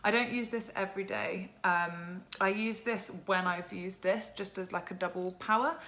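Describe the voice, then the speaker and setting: A young woman with a croaky voice chatting casually to camera about her skincare routine, filling her talk with "like" and "um". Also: mid-range voice, fairly even intonation, normal speed, pushed and bright. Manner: chatty, lots of "like" and "um". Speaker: skincare vlogger. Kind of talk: casual product chat to camera.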